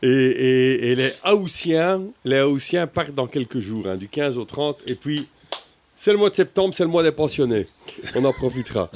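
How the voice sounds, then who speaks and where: Chatty male radio host, mid-pitched voice. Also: lively intonation, moderate pace, a little mellow. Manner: chatty, jocular, repeats himself as he goes. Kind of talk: casual unscripted radio chat.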